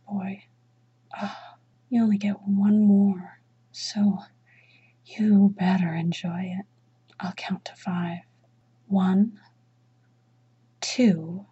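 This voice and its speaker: Slow, breathy, whispering woman. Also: medium pitch, a little mellow, dull audio. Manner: commanding. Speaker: erotic audio roleplay performer. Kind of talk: dominant mistress roleplay.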